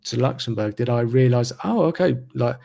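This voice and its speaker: Man in his 30s, gravel in the voice, steady slow reflective talker, hosting an interview. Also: medium pitch. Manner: slow, even, reflective delivery. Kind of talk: casual interview conversation. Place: remote video call. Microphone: video call mic.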